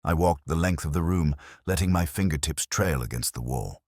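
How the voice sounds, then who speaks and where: A man playing a weary, middle-aged literary police inspector, narrating his case in a measured, reflective detective-drama style. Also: a bass voice, a little mellow, dry recording, clean studio sound. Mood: reflective, grave. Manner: measured, brooding, literary delivery. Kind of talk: scripted first-person detective narration.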